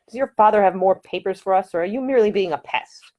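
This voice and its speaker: A woman voicing a wry, sardonic, moody gentleman in a historical audiobook. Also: medium pitch, fast, muffled recording, lightly acted. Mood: irritated.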